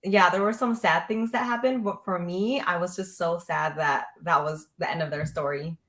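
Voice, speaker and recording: A woman's voice: lowish voice, fairly even intonation, moderate pace, a bit bright. A woman chatting casually about books as a guest on a video call. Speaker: book club guest. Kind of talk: casual book discussion chat. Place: indoor room with bare walls, video call.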